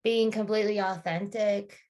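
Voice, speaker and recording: A woman's voice: mid-range voice, fairly even intonation, normal speed, darkish tone. A woman guest on a podcast interview, speaking thoughtfully about her career, with frequent fillers and restarts. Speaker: podcast guest, marketing consultant. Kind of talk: reflective interview conversation.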